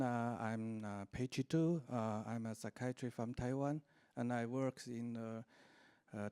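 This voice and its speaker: A man's voice: medium pitch, dark tone, no echo. A man who is a psychiatrist, introducing himself at a conference, hesitating with many fillers.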